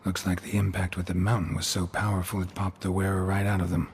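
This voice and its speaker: A stoic fantasy game monster hunter, speaking in a very low, gravelly register. He is laconic and dry, deadpan in his delivery. Ambient noise sits behind the voice. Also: fast talker. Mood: muttering, pensive. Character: gruff, stoic male monster hunter, dry-witted and terse. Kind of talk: video game voice acting.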